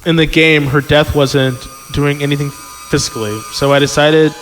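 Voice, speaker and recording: A man's voice: a little high, fairly even intonation, normal speed, a bit bright, a little hiss or hum, low-quality recording. A man hosting a comedy podcast, reading a story aloud and breaking in with incredulous, sweary reactions. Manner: exclamatory, incredulous, sweary asides. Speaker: podcast host and story reader.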